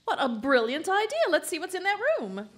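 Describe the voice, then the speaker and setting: An actress playing a pompous, bossy famous writer in a live radio drama, gushing and imperious in a theatrical manner. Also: female voice, sits high, big pitch swings, fairly quick. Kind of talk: old-time radio drama acting.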